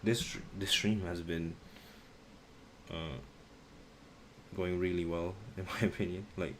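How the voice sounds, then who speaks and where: Low-voiced young man, halting stream chat. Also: steady tone, a little hiss or hum. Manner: halting, searching for words, slangy. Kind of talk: casual live stream chat.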